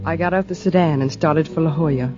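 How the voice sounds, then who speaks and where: An actress playing an anxious, guilt-ridden wife in a 1940s radio drama, both narrating and speaking in character. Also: female voice, low-pitched, fast, close to an everyday voice. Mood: haunted, subdued. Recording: dull vintage radio recording, music behind.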